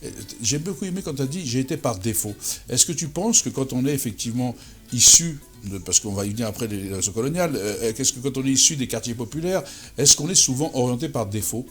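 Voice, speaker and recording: Fast-talking male interview host. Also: medium pitch, animated, soft background music. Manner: informal, interjects and finishes the guest's sentences. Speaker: talk show host. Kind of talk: casual interview chat.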